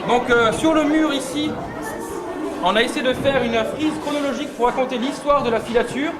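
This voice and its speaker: Woman guide in a loud, crowded hall. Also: high-pitched, normal speed. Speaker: exhibition guide. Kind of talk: informal guided-tour explanation. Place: large indoor public space. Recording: echoey public hall, loud crowd and music.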